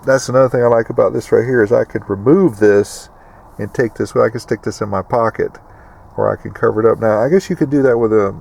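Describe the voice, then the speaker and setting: A middle-aged American man with a lowish, gravelly voice talks casually through a piece of gear. He rambles in a folksy, explanatory way, like a host addressing his viewers. Vehicle and ambient noise sits behind him. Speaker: prepper video host. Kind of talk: casual explanatory product walkthrough.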